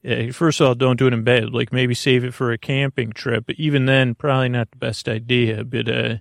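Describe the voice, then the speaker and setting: Creaky-voiced man, rambling soothingly. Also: mid-range voice, flat delivery, no echo, clean studio sound. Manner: meandering, halting, self-interrupting, full of tangents; soothing, lulling delivery. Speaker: podcast host. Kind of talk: rambling bedtime monologue to help listeners sleep.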